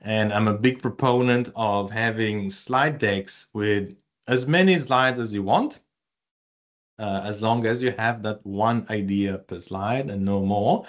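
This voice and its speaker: A man with an Italian accent leads a live online workshop over a video call. His voice sits a little low, and he talks in a loose, chatty way full of fillers and restarts, joking with his audience as he goes. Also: a little slow, muffled recording. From Italy. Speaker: research communication presenter.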